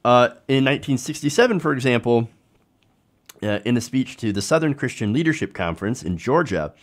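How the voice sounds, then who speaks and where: A man's voice: medium pitch, expressive, a little fast. A man hosting a political commentary show, talking informally to viewers in a conversational way with rhetorical tag questions.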